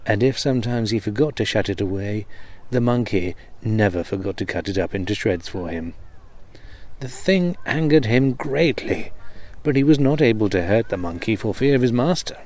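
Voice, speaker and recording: A man with a fairly low voice serves as storyteller, reading an old comic tale aloud. His delivery is that of a narrated storybook. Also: expressive, normal speed, a little mellow.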